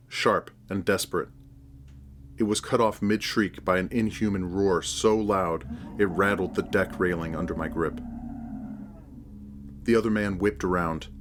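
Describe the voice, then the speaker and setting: A man's voice: low-pitched, a little hiss or hum. A man narrating a scripted first-person horror story as its narrator.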